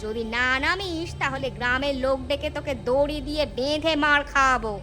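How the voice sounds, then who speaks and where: A woman's voice: sits high, brisk, lightly acted. A woman voicing a greedy, bossy, scheming village wife in a cartoon folk tale. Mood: angry, threatening. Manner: bossy, scolding. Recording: clear music bed. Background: music.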